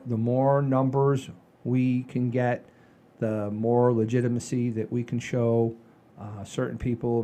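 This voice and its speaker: A man's voice: medium pitch, mellow. A man in his sixties hosting a casual comedy chat show in a folksy, rambling, enthusiastic way. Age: 60s. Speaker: podcast host.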